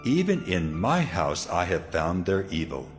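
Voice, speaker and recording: Man, low-pitched, solemn dramatized scripture narration, music underneath. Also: very animated, unhurried. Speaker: Bible narrator. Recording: music behind the voice.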